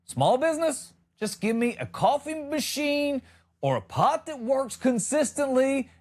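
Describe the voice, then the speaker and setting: A man's voice: extremely high pitch, very animated, unhurried, darkish tone. A man delivering a comedic monologue as a podcast host, ranting in an exasperated, emphatic, sarcastic way.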